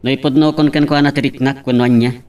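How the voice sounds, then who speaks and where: A man's voice: medium pitch, level tone, brisk, a little mellow, smooth, lightly acted. A man with an Ilocano accent playing a casual young friend in an audio drama, on a slightly noisy, distant recording. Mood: casual, amused. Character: young man, casual buddy shy about love. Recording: distant mic, faint noise.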